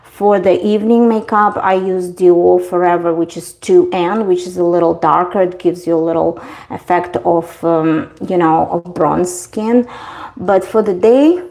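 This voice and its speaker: A woman's voice: fairly low pitch, steady tone, unhurried, a little mellow. A woman with a Russian accent, a native Russian speaker, chatting casually through a livestream makeup review from her bathroom, rambling with many ums and restarts. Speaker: beauty vlogger. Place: marble bathroom at home.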